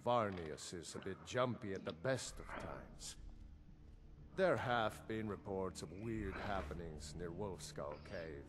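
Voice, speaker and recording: Low-voiced, measured royal steward. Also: male voice, expressive, noisy background. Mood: measured, pragmatic. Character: level-headed middle-aged male royal steward, weary and pragmatic. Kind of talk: fantasy game dialogue.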